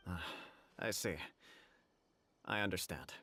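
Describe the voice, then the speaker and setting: A man's voice: deep voice, slow pace, bright, forceful tone, heavily acted. A man voicing a polite, formal clan official in a video game, with a slightly hesitant manner. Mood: accepting. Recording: faint music under the voice.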